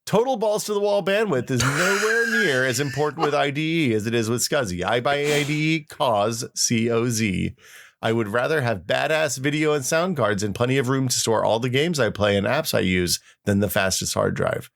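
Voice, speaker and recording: A man's voice: mid-range voice, lively intonation, moderate pace, vocal fry, fairly bright tone. A man hosting a podcast, chatting casually and telling stories in an informal, wryly exaggerated way. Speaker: podcast host. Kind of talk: casual chat with reminiscing and reading aloud.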